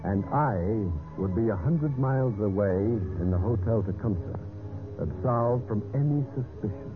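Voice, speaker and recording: An American actor playing a scheming, hateful husband in an intense, melodramatic golden-age radio mystery style. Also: male voice, lowish voice, expressive, moderate pace, natural voice. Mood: smug, calculating. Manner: intense, melodramatic, dramatic delivery. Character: scheming, hateful husband plotting his wife's murder. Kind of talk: 1940s-50s radio mystery acting. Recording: tinny old radio recording, organ-era music.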